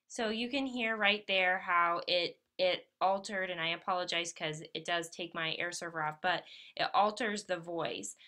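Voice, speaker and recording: A woman's voice: lowish voice, normal speed, pushed and bright. A woman presenting a casual instructional app demonstration as a teacher would. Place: voice-over for a tablet screen recording.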